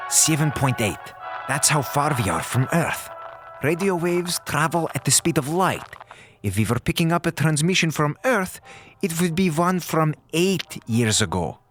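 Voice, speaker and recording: Audio drama scientist: brusque, formal, pedantic man, slightly high lively voice with precise diction. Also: measured pace, faint music behind. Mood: grave, urgent.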